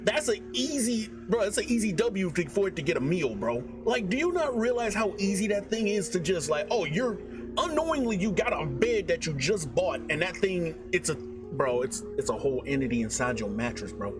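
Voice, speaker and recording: A young man giving casual reaction commentary, slangy and halting, often repeating himself. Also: high-pitched, sing-song. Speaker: reaction video host. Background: loud background music.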